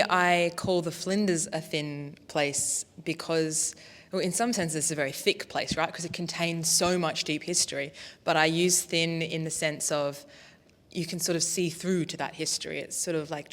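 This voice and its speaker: A woman panellist at a public talk, thoughtful and musing, often saying "sort of". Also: sits low. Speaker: panel speaker. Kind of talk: panel discussion answers. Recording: long hall reverb.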